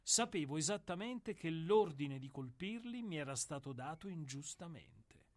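An actor in a radio play, voicing a somber, philosophical executioner who speaks in a grave, measured and deferential way. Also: male voice, on the high side, lively intonation, lightly acted. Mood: brooding. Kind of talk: radio drama acting.

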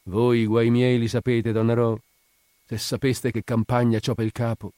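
A man's voice: medium pitch, flat delivery, normal speed, warm tone, no room sound, clean studio sound, close to an everyday voice. Man voicing an anguished, fiery woman. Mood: bitter. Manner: pleading, impassioned, vehement outbursts. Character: anguished, fiery young married woman, remorseful and bitter. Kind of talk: dramatic audiobook character reading.

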